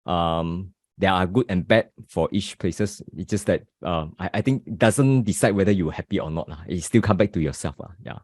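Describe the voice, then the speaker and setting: Singaporean man, low voice, dry sound. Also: moderate pace, hardly any pauses, a little mellow, dry recording. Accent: Singaporean English. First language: Mandarin. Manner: chatty, compares places from experience. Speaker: podcast co-host. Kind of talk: casual chat.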